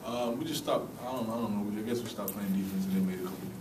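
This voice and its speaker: A young college basketball player answers reporters quickly and offhandedly in a low, flat voice, full of "you know". He sounds far from the mic in an echoey room with background noise. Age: young adult. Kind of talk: postgame press conference answers.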